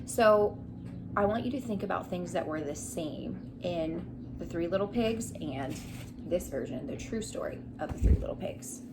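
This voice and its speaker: A woman's voice: on the low side, expressive, normal speed. Young woman teaching, noisy home recording. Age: young adult. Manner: instructional, prompting listeners with questions. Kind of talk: reading lesson for children. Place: small living room indoors. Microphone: camera a short distance away.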